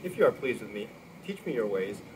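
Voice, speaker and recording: A man's voice: lowish voice, brisk. Man reading scripture aloud, noisy recording. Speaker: scripture reader. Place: outdoors in front of a garage. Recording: steady outdoor ambient noise.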